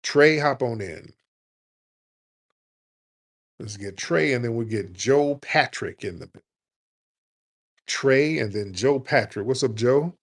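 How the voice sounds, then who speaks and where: A man hosting a call-in show, talking in a blunt, profane and animated way and sometimes mocking people in a high put-on voice. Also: takes it slowly, long pauses. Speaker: host.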